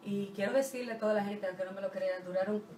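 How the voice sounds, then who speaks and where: A middle-aged woman from the Dominican Republic with a Dominican Spanish accent, a singer being interviewed, talking in an animated, halting way with many restarts. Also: mid-range voice. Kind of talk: casual interview talk. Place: small radio studio with curtain backdrop. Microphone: desk mic on stand, heard from a distance. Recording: roomy studio sound, mic off at a distance.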